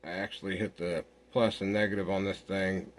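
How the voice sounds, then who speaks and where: A man giving an informal software walkthrough, thinking aloud in a halting way as he works. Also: low voice, steady tone. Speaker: software tutorial host. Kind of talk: informal screen-recorded walkthrough.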